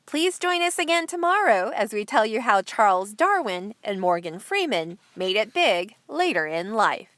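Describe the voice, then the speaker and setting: A woman's voice: medium pitch, sing-song, moderate pace, hardly any pauses, fairly bright tone, dry recording. A woman hosting a read-aloud news feature, narrating from a script. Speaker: host reading articles aloud.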